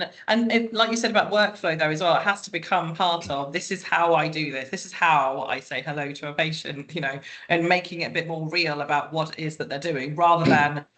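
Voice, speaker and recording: Bright, higher-voiced British male webinar moderator. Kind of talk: conversational panel moderation.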